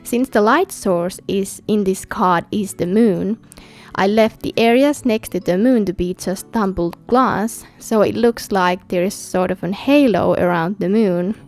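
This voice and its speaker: A woman from Finland, a native Finnish speaker with a Finnish accent, narrating a scripted craft tutorial as its host. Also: mid-range voice. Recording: background music under voice. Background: music.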